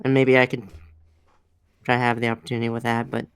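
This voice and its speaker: A man speaks casually on a panel in a crisp, mid-pitched voice. His contributions are short and a little hesitant, and the sound is close with almost no room in it. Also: little pitch movement, quick pace, dry recording. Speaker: voice actor on a panel.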